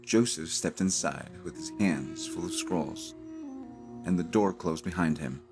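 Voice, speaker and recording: A man narrating a cinematic audio drama in a solemn, dramatic manner with deliberate pauses. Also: low voice, over music. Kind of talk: slow cinematic scripted narration.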